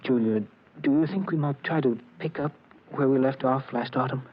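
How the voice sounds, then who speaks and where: A man playing a soft-spoken, self-justifying murderer in 1940s radio drama, speaking in a measured, polite, earnest way. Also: medium pitch, husky, lightly acted. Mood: tender, careful. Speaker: actor playing narrator and lead character. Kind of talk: 1940s radio drama acting, confessional narration. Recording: dull, noisy old radio recording.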